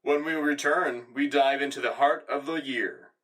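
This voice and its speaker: A man acting as a propaganda radio anchor for a galactic war, with a bright, punchy tone and medium pitch. He delivers scripted news in a polished, grandiose announcer style, sounding slightly set back from the mic. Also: normal speed, distant mic, lightly acted, rough recording. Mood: proud, rousing. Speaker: radio show host. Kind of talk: scripted broadcast news narration.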